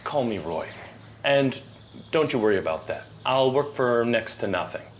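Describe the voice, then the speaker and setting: A man's voice: mid-range voice, lively intonation, normal speed, slight background noise, close to an everyday voice. A man playing a charming, eager, self-assured faded Hollywood star in an audio drama. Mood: charming, persuasive.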